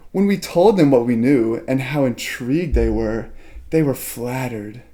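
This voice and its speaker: Man playing a mellow, swooping, playful ghost twin. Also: mid-range voice, animated, close to an everyday voice. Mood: dreamily fascinated. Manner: teasing, theatrical, drawn-out phrasing. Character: playful, sinister young ghost twin, romantic, yandere. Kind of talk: ASMR roleplay drama.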